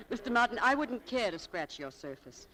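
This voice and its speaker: A woman playing a haughty, sharp-tongued young shop clerk in a 1940s radio drama, with tart, exasperated, theatrical delivery. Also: a little high, sing-song, normal speed, poor audio. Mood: scornful, impatient. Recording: vintage 1940s radio broadcast.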